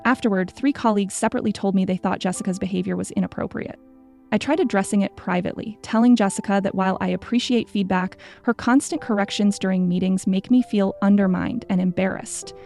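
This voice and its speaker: Female narrator for scripted stories; mellow tone with vocal fry. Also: mid-range voice, fast talker, soft background music. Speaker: story narrator.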